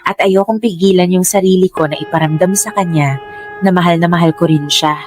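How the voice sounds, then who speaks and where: A woman's voice: fairly low pitch, brisk, darkish tone, lightly acted. An actress playing a breezy, self-assured, lovestruck young Filipino woman in a radio drama, playful and chatty. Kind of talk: Tagalog radio drama acting. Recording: music bed under dialogue.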